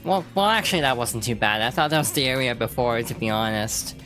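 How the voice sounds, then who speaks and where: A woman's voice: a bass voice, animated, brisk. A woman streamer rambling through casual let's-play commentary, with lots of fillers and restarts. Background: game music.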